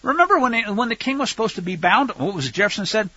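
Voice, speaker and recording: An American man with a husky voice preaching a Bible lesson in an emphatic, sarcastic way, full of rhetorical questions. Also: high voice, very animated, fast talker, pushed and bright, no room sound, lo-fi sound. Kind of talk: sermon, Bible teaching with political commentary.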